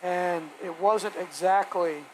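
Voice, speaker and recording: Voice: mid-range voice, slow pace, rough recording. A hobby woodworker chatting casually on a live stream, rambling and thinking aloud in a folksy way while working. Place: home woodworking workshop. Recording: steady vacuum noise behind voice. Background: shop vacuum running.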